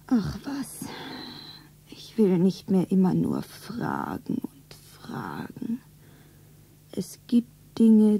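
An actress playing a lovestruck, anxious young shop girl in a romance radio play, breathy and often whispering, dreamy and sentimental. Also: mid-range voice, dark tone, exaggerated voice. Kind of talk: 1970s German radio play, pulp romance.

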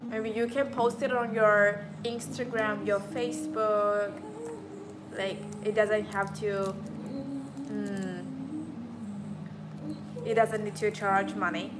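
A woman's voice: mid-range voice, flat delivery, slow, long pauses, background noise. A young woman with a Chinese accent hosting a live stream in a beauty showroom, chatting to viewers and repeating her words. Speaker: live stream host selling beauty machines. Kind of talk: live stream chat reading viewer comments. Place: indoor showroom with beauty machines.

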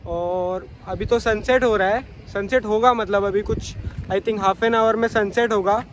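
A man's voice: sits high, steady tone, measured pace. A young man chatting casually while riding a motorbike, filling his talk with little fillers, recorded on a phone stuck to his helmet. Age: young adult. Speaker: vlogger. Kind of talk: casual moto vlog commentary. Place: outdoors on a motorbike on dusty roads, then a seaside spot. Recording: loud traffic and wind noise.

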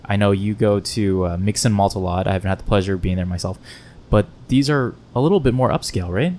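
A man's voice: quick pace, warm tone. A man hosting a casual podcast interview, speaking into a close microphone in a bar lounge. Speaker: podcast host. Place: wood-panelled bar lounge, indoors. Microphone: close podcast microphone on stand. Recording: low rumble and faint room noise.

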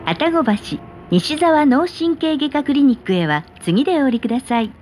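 A woman with a high, lilting voice reads polite scripted notices for a subway's in-car system. Clear train noise runs around her words. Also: sing-song, normal speed, darkish tone. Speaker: subway advertisement announcer. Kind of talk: scripted transit announcements and sponsor notices. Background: running subway train noise.

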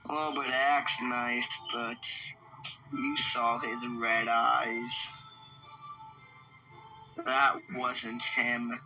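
A man's voice: mid-range voice, little pitch movement, very slow, long pauses, music in the background, low-quality recording. A man roleplaying a taunting, short-tempered animatronic villain in a casual online game, speaking with menace. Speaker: player in a Minecraft roleplay. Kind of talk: in-character gaming roleplay with friends.

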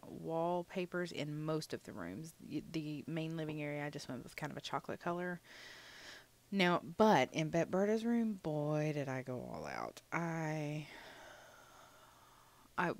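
Young woman, sniffly, casual chatty game voiceover; low, full voice, loose and rambling with plenty of ums. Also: a little hiss or hum. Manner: rambling, chatty, many fillers. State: a little sniffly. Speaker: gaming channel host.

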